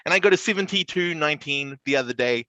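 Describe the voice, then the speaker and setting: A man's voice: on the high side, expressive, fast, sharp tone, dry recording, highs cut off. A man chatting casually about games as a co-host on a podcast.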